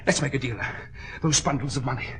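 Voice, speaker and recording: An actor playing a wounded American swindler in an old radio drama, halting and wheedling in slangy talk. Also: male voice, mid-range voice, fairly bright tone, lightly acted. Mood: pained, pleading. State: hurt, head bleeding. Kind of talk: 1940s radio drama acting. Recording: vintage 1940s radio broadcast.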